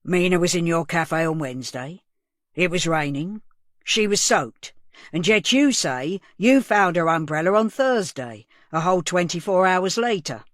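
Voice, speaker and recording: A woman's voice: low voice, unhurried, no room sound, studio quality. Woman doing a hard-pressing police sergeant. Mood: accusing. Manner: accusing, pressing. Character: pushy male police sergeant interrogating.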